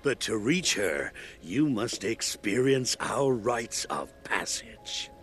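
An actor playing a mocking, pretentious cultist artist, a talking severed head, theatrical and grandiose in a video game. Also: male voice, on the low side, very animated, takes it slowly, a bit bright, soft background music, heavily acted. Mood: grandiose, knowing. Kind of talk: video game cutscene acting.

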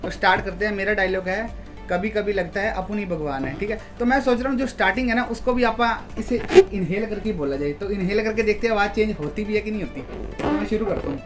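A man's voice: high voice, fast, music in the background. A young man from India hosting a casual challenge vlog, chatting to viewers in a small room at home. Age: young adult. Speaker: vlog host.

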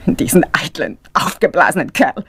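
A woman playing a bitter, grieving young woman in a radio crime drama, speaking intensely and haltingly with a breathy voice. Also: sits low, big pitch swings, a bit bright, no room sound, full character voice. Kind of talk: emotional radio drama monologue.

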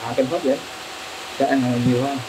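A middle-aged farmer talks quickly and plainly from an open-sided shed, rambling through his practical explanations. The camera mic picks him up from a few meters away. Steady background noise from the farm sits under his voice. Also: medium pitch. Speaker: shrimp farmer. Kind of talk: casual on-site interview. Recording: noisy outdoor farm recording.

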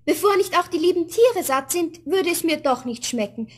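Young heroine for a fairy-tale record: very high voice, sweet, earnest, well-mannered. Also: female voice, lively intonation, normal speed. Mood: polite. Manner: polite, tender, earnest. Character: sweet, dutiful, polite young girl. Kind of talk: 1970s German fairy-tale radio play.

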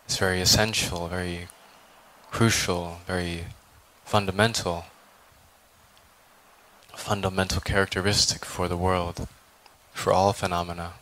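A man leading a guided meditation as a teacher, speaking calmly and contemplatively with long, deliberate pauses. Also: deep voice, low-quality recording. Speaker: meditation teacher, Buddhist monk.

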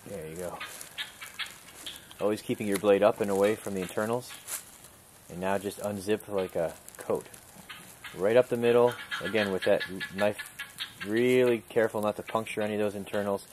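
A man calmly instructing a student step by step during an outdoor survival skills demonstration, recorded on a camera mic. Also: fairly low pitch, normal speed, long pauses, noisy background. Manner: calm, instructive, talks through each step. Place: outdoors in a wooded clearing.

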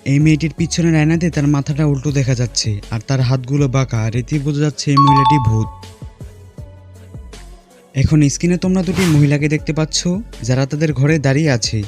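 A man narrating a scripted puzzle quiz as host, speaking directly to viewers. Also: on the high side.

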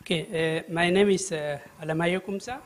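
An older man from Ethiopia speaking up from the audience at a conference, with a handheld microphone, halting and full of filler sounds but earnest and pressing. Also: sits high, steady tone, slight background noise. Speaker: audience member asking a question. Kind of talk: public question from the floor. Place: vaulted conference hall with audience.

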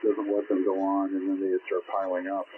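American man, low deep voice, casual ham radio talk, muffled signal, clear static. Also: completely flat delivery, moderate pace. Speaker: amateur radio operator. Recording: dull, staticky shortwave radio transmission.

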